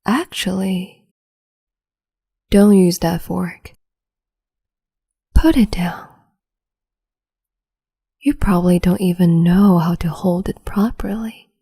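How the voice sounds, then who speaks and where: A woman voicing a cruel, capricious empress in an ASMR roleplay, whispering softly and condescendingly with sweet menace, close to the mic. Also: fairly low pitch, slow pace, pauses often, full character voice. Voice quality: breathy whisper.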